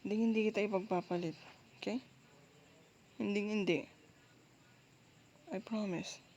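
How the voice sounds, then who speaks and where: A woman with a Filipino accent doing intimate girlfriend roleplay ASMR, speaking softly and closely in a soothing, coaxing, reassuring way. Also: mid-range voice, steady tone, measured pace, dull audio. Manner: soothing, coaxing, with frequent gentle check-ins. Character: soft dominant, reassuring, apologetic girlfriend comforting her partner. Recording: faint background noise.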